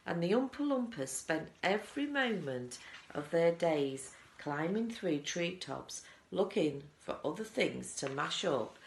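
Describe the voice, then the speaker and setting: Brief: eccentric storybook chocolatier, played by a woman, proud, emphatic, unhurried, in a roomy space. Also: lowish voice, very animated, creaky, full character voice. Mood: dramatic relish. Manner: proud, emphatic, relishing the tale.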